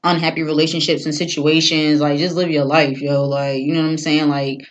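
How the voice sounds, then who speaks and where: A woman's voice: sits low, flat delivery, brisk. A young woman co-hosting a podcast, talking casually and often checking in with her listeners. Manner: conversational, often checks in with "you feel what I'm saying". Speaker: podcast co-host. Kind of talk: casual chat.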